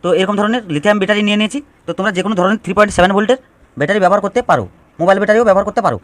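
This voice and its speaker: A man's voice: sits high, rapid-fire, no echo. A man giving an informal step-by-step DIY electronics tutorial, explaining and restating phrases as he goes.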